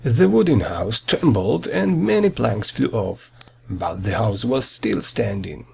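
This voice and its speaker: A man reading a children's fairy tale as a storyteller, with a dramatic, expressive delivery and a husky, gravelly voice. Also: on the low side, slow, a little hiss or hum.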